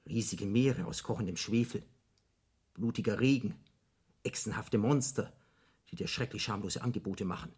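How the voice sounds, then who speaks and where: An actor playing an eloquent devil in a radio drama, arguing in emphatic, elaborate rhetoric as a persuasive tempter. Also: male voice, on the low side, moderate pace, long pauses, darkish tone, breathy edge. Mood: indignant, lurid.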